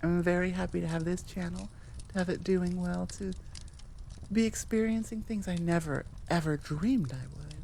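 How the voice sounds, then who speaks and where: Mellow-voiced woman chatting casually. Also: fairly low pitch, moderate pace, gravelly, a little hiss or hum. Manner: rambling, candid, self-deprecating. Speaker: YouTube audio roleplay creator. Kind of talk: casual, unscripted chat to listeners.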